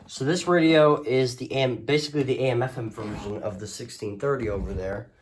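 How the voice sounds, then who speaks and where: A man's voice: mid-range voice, fairly quick. Guy doing a casual show and tell, kinda rambly and unsure, keeps correcting himself, bit of background noise. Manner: hesitant, rambling, self-correcting. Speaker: host of a radio collection video. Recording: noisy home recording with clicks.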